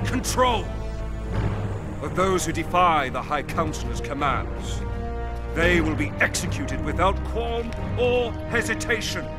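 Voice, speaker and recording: An actor playing an arrogant alien tyrant who gives formal, pompous commands. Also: male voice, high-pitched.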